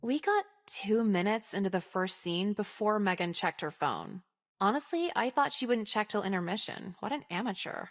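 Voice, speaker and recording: A woman's voice: mid-range voice, expressive, moderate pace, close to an everyday voice. A woman acts out a callous American teenage girl in a visual novel. She speaks in a mid-range voice, casual and full of slang. Her delivery is sardonic and self-absorbed, like a confession with no remorse. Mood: smug, dry. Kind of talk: visual novel voice acting, first-person monologue.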